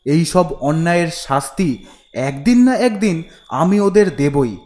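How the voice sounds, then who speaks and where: A man's voice: fairly high pitch, lively intonation, measured pace, darkish tone. Man playing bold, defiant young village son. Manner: bold, resolute. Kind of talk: animated horror cartoon acting.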